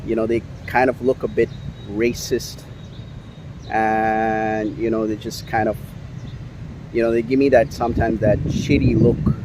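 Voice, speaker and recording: A man's voice: lowish voice, flat delivery, measured pace, background noise. A man from India vlogging outdoors on a city street, rambling with many fillers as he complains about his day. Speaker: YouTuber. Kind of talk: casual vlog monologue. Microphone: handheld camera.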